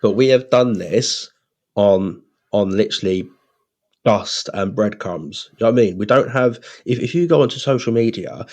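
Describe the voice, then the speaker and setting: A man's voice: lowish voice, darkish tone. A British man co-hosting a podcast in casual, blokey, sweary chat with a friend. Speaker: podcast co-host.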